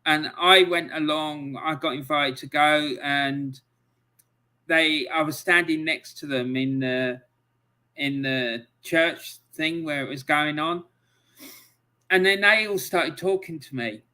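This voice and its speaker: A man's voice: fairly high pitch, takes it slowly, bright, forceful tone. A man hosting a casual interview podcast, reading out viewer comments in a chatty way with lots of fillers. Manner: chatty, laughs often, filler-heavy. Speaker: podcast host.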